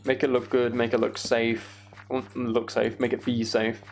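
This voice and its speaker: Creaky-voiced British man, casual streamer. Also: medium pitch, flat delivery, moderate pace, a little mellow. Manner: rambling, thinking aloud. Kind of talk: casual livestream chat while gaming.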